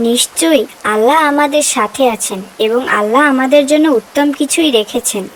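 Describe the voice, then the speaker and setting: A woman's voice: high-pitched, a little fast. A woman voicing a poor farmer's devout, caring wife in a moral cartoon, speaking earnestly. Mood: concerned, consoling. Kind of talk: cartoon voice acting, moral tale.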